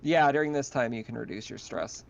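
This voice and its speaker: A man's voice: mid-range voice, fairly quick, creaky. A man running a tabletop roleplaying game casually as game master over a voice call. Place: online voice call. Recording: dull, noisy voice call.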